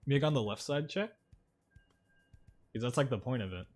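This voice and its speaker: A man's voice: medium pitch, animated, moderate pace, darkish tone. A man livestreaming a video game, chatting casually with viewers and commenting on play in informal, slangy speech. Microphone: streaming microphone. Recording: music playing behind the voice. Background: music.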